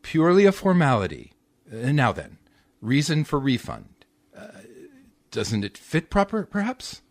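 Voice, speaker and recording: A man's voice: mid-range voice, very animated, measured pace. A man playing an officious, falsely genteel department store clerk in a 1940s-style radio comedy, fussy and pompous. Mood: fussy, amused.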